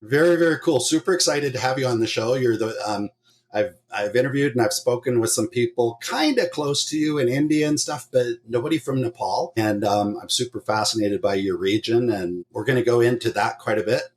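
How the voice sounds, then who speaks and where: Upbeat male podcast host, lively pitch. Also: fairly quick, husky. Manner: upbeat, enthusiastic. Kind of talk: scripted intro, then casual interview.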